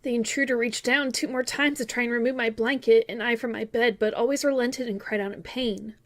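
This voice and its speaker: A woman's voice: fairly high pitch, steady tone, fairly quick, close to an everyday voice. A woman playing a grown-up wistfully recalling childhood, narrating a scripted first-person story in an earnest, reflective way.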